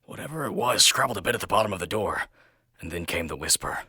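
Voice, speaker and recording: Male narrator for first-person horror; theatrical, tense, sharp delivery with shifting intensity, very dry sound. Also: deep voice, lively intonation, quick pace, breathy edge, no room sound. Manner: intense, theatrical, swinging from hushed to loud. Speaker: narrator and reader of a horror story.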